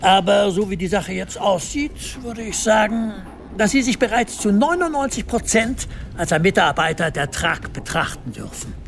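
An actor playing a jovial, self-important personnel manager in a radio drama, speaking with patronising formality. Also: male voice, sits high, animated, normal speed, gravelly, a bit bright, slight background noise, full character voice. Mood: solemn, approving.

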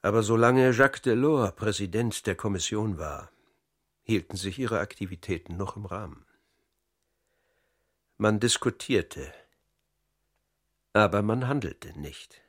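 Composed middle-aged academy director, low voice, steady under pressure, radio crime drama. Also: male voice. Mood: matter-of-fact. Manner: measured, steady testimony. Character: Dutch academy director, calm psychologist under interrogation.

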